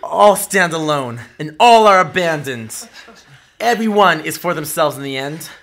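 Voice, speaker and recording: A man's voice: on the high side, lively intonation, measured pace, fairly bright tone. An actor playing a cruel, cynical male captor in an audio drama, preaching at and mocking his victims with menace. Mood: contemptuous, ranting.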